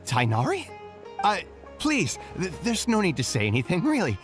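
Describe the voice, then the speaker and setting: An actor playing a chatty, self-deprecating, slightly nervous scholar in a video game. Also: male voice, high voice, huge pitch swings, normal speed. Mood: flustered. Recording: music behind the voice.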